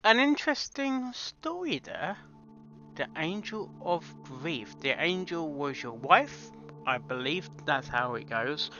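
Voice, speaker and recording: Guy reacting to a story, higher voice, really bright and sharp, slow halting comments. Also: sing-song, dull audio, faint music behind. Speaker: reactor commenting on a fan fiction reading.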